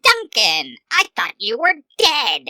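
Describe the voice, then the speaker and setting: A man's voice: extremely high pitch, wildly swooping pitch, takes it slowly, pushed and bright, no echo, studio quality, voice pushed up, full character voice. A man voicing a malevolent skull-faced villain sorcerer in a theatrical, menacing way for a story reading. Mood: disbelieving.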